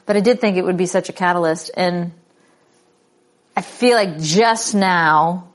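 A woman's voice: lowish voice, measured pace. Woman, forties, interview host, laughs a lot, playful. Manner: playful, animated, laughs often. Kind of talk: casual conversational interview.

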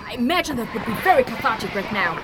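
Cheeky, high-pitched fantasy adventurer. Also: female voice, very animated, fast talker, background noise. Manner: playful, sarcastic, casual modern speech. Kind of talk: fantasy audio drama acting.

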